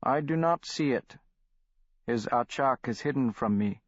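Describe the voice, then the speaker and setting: Man voicing solemn old shaman, measured, dry dull audiobook recording. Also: mid-range voice, no room sound, voice pushed up, close to an everyday voice.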